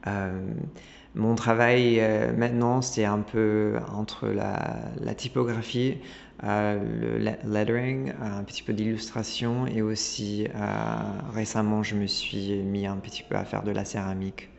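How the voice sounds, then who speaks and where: A man talks in a low, gravelly voice. He restarts his sentences, and he stalls on fillers. The recording sounds dull and lo-fi, with a long reverb trailing behind him. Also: little pitch movement, unhurried, a little hiss or hum. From Italy. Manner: hesitant, many restarts and fillers. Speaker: guest designer. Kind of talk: casual interview talk.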